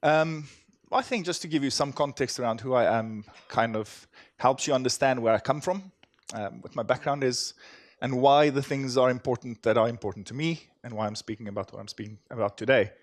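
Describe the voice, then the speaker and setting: A man's voice: medium pitch, fairly quick, no echo. A man from South Africa with a South African accent, giving a conference talk in a chatty, self-deprecating way with many fillers and restarts. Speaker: conference speaker. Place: conference hall stage.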